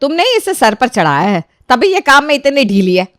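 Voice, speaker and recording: A woman acts out a domineering middle-aged mother-in-law from a cartoon tale. She nags and accuses in a sharp, theatrical way, pushing her voice up, with a close, dry sound. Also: sits high, sing-song, brisk, no echo, voice pushed up. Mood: angry, accusing. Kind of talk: cartoon moral-story voice acting.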